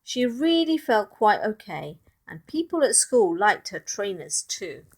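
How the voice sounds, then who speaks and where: A woman reading a children's picture book aloud in expressive narration, with asides to young listeners about the pictures. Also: mid-range voice, a little slow, breathy edge. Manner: addresses young listeners, points out pictures, asks questions.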